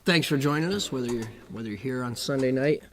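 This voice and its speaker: A middle-aged man hosting a music live stream from home, chatting casually between songs in a low, rough morning voice, rambling and chuckling. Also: fast talker. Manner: laid-back, rambling, chuckles often. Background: acoustic guitar playing.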